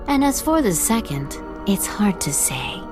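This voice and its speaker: A woman's voice: mid-range voice, big pitch swings, measured pace, music in the background. A woman voicing a playful, lonely ancient female spirit who teases a visitor into a game of questions in a video game. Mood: teasing. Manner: whimsical, teasing, playful.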